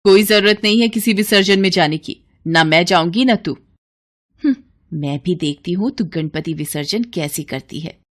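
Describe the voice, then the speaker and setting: A woman voicing a bitter, sharp-tongued stepmother in a moral storybook tale, scornful, nagging and curt. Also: on the low side, normal speed, natural voice. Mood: spiteful.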